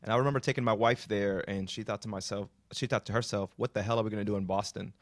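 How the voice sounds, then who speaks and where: A man's voice: fairly low pitch, fairly even intonation, fast, no echo, studio quality. A middle-aged man from Massachusetts, hosting an interview and asking long, rambling questions. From Massachusetts, US, then Florida. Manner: chatty, rambling questions, friendly. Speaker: podcast host. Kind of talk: casual interview talk.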